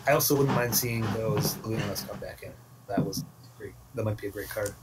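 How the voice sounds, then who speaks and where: A man's voice: lowish voice, brisk. A man giving casual live analysis as commentator of a card game match. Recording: distant mic with clear background noise, clicks.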